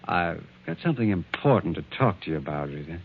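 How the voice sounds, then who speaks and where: An actor playing an eager, affectionate bachelor in a 1940s radio drama. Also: male voice, deep voice, big pitch swings, fast talker, vocal fry, slightly rough, exaggerated voice. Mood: eager, hesitant. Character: eager, affectionate bachelor artist courting a woman. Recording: tinny old 1940s radio recording.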